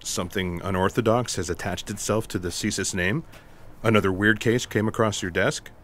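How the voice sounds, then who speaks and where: Casting: unflappable special agent played by a man, low-pitched, dry, deadpan and wordy, comic sci-fi radio theatre. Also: moderate pace, slight background noise, close to an everyday voice. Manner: deadpan, matter-of-fact, wordy. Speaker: voice actor.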